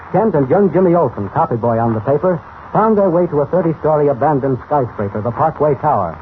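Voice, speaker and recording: A man's voice: mid-range voice, a little hiss or hum, lightly acted. A man narrating a 1940s radio serial in a formal, suspenseful, dramatic style, on a tinny old recording. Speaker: announcer, narrator.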